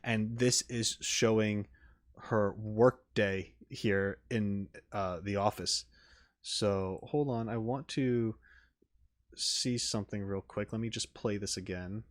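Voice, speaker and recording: A man's voice: fairly low pitch, steady tone, slow pace. A man giving casual, off-the-cuff commentary as a reaction streamer, rambling through his thoughts with many asides. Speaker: reaction video host and streamer.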